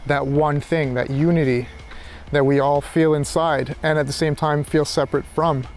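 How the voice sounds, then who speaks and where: Hesitant, reflective man with higher-pitched voice. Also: steady tone, normal speed, soft background music. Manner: hesitant, searching, circling back over phrases. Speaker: philosophy vlogger. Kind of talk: unscripted reflective monologue.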